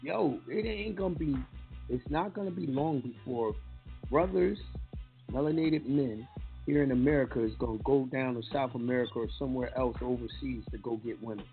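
A man's voice: mid-range voice, normal speed. A man with a New York accent hosting a talk radio show, blunt and profane in his opinions. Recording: lo-fi phone-line sound with faint music.

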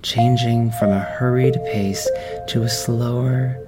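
A man guiding a meditation in a slow, soft, soothing voice with long pauses. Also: lowish voice. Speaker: meditation guide. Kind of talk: guided meditation. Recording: music underneath.

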